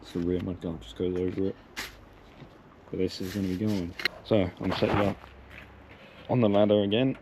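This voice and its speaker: Low-pitched, monotone young man. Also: normal speed, long pauses, background noise. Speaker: vlogger. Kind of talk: casual narration while working on bikes. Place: car, sheds and yard while working.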